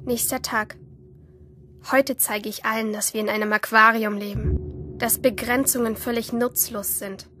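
A woman's voice: on the high side, steady tone, unhurried, noisy background, lightly acted. An actress playing a rebellious, intense and jealous teenage girl swimmer, narrating and speaking in a crime radio play. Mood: conspiratorial narrating. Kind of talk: first-person audio drama narration and dialogue in a crime radio play. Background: music behind the voice.

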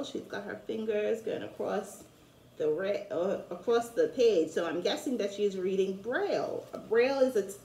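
A middle-aged woman reading a storybook aloud to young children, with chatty asides, in an expressive, encouraging way. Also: a little high, slight background noise. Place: small room at home, indoors. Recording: echoey small room.

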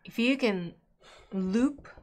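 A woman's voice: a little high, takes it slowly, darkish tone. A middle-aged woman casually thinking aloud through her moves as she co-hosts an indoor board game playthrough. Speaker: board game show co-host and player. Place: indoor room at a game table.

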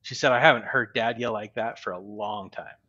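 A man in his forties, a podcast guest, talking slowly and reflectively with many pauses and ums over a video call. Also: on the low side, pushed and bright, lo-fi sound. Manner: slow and reflective, many ums, laughs easily. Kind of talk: casual interview talk. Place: home room. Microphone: studio mic on video call with headphones.

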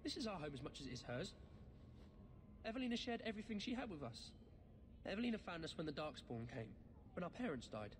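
A man's voice: high-pitched, sing-song, moderate pace, long pauses. An actor playing a defensive, protective orphaned refugee boy in a video game, guarded and curt. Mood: bitter. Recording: reverberant game audio with background noise.

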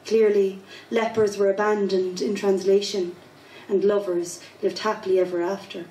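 A Cork Irish woman in her thirties speaks casually and recites poetry with a rhythmic, self-deprecating lilt. She is heard through a handheld mic in a pub, caught from some distance in a roomy, echoing space. Her voice sits at an ordinary middle pitch. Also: level tone, moderate pace, warm tone. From Ireland. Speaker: spoken word poet. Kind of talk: casual interview and poetry performance. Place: pub with small stage. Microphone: handheld mic, far camera. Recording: echoey pub from far off.

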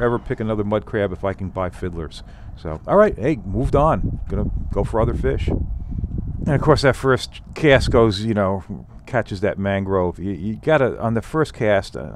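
A lowish-voiced man talks through his fishing day from a kayak, easygoing and chatty, often restarting his sentences. He is recorded outdoors on open water by an action camera mounted on the boat, with a faint hiss of wind and water behind him. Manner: relaxed, thinking aloud with fillers and restarts. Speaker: fishing vlogger. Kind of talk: casual narration while fishing.

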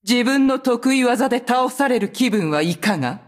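A woman voicing a proud, vengeful sorceress from a video game, speaking in a cold, haughty, deliberate manner. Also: a little high, animated. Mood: cold, scornful. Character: proud, vengeful sorceress who betrayed her cursed clan. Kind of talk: video game character voice lines in Japanese.

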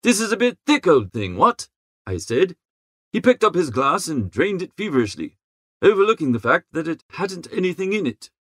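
A man playing a good-natured, easily flustered young upper-class idler who narrates an audiobook in a chatty, slangy, expressive way. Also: high-pitched, normal speed, no echo, studio quality. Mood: rueful narrating. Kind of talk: first-person audiobook narration.

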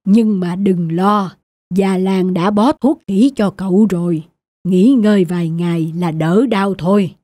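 A woman voicing a kindly old male village elder in a cartoon folk tale, speaking in an unhurried, reassuring way. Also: medium pitch, warm tone, no room sound, close to an everyday voice.

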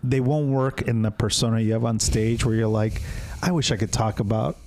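A man's voice: fairly low pitch, steady tone, moderate pace, darkish tone. A man in his thirties hosting a casual podcast chat from a small studio on a close mic, teasing his guest and laughing easily. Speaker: podcast host. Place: small studio room, indoors. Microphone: studio mic with headphones.

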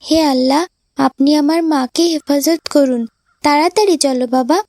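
A woman's voice: sits high, steady tone, normal speed, vocal fry, a little mellow, no room sound. A woman voicing a sweet, devout little girl in a cartoon, eager and affectionate like an adoring elder sister. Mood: worried. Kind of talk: cartoon voice acting in moral story.

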